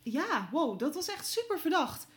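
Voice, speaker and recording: A woman playing a curious, excitable reporter in an audio drama, reacting informally, with noise in the recording. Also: high voice, moderate pace. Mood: astonished, curious.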